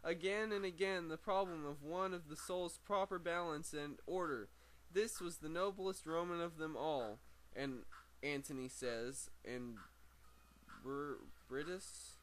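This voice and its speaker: A man's voice: fairly high pitch, steady tone, unhurried, fairly bright tone, low-quality recording. A man reading a book aloud as an amateur audiobook reader, often stumbling over words and repeating phrases. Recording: amateur recording with clear background noise.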